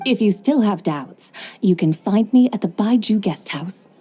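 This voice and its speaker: A woman's voice: medium pitch, lively intonation, a little slow, mellow, husky, faint music behind. A woman voicing a drowsy, formal mystic woman with grand, old-fashioned manners in a game cutscene. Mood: stately.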